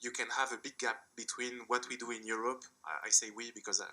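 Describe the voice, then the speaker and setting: A man from France with a French accent, answering a question as a panelist at a conference in a measured, explanatory way. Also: mid-range voice, steady tone, sharp tone. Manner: measured, explanatory, with small restarts. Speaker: panelist, hydrogen developer.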